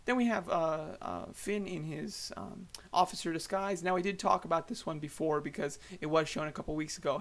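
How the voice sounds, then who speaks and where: Hoarse man, gravelly, fairly high voice, casual rambling toy commentary, lots of ums. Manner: rambling, hesitant, many fillers and restarts. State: slightly hoarse. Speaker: toy collector vlogger.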